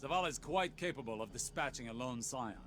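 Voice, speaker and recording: A man's voice: mid-range voice, animated, fast talker, fairly bright tone, a little hiss or hum, close to an everyday voice. A man playing a stern, haughty spymaster and mentor in game dialogue, clipped and condescending. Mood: reproving.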